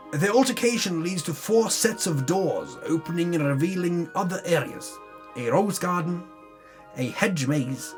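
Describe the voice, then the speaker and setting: A man giving a dramatic reading of a tabletop game recap, theatrical in his telling, with wry asides. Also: fairly high pitch. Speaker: reader of a tabletop campaign recap. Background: music under the voice.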